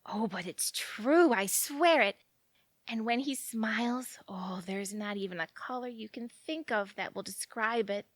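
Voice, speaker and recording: Actress playing a high-voiced, giddy woman in love. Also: unhurried, no echo. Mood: gushing. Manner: effusive, dramatic, gushing. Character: giddy, lovestruck woman, romantic and easily offended. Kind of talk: audio theatre acting.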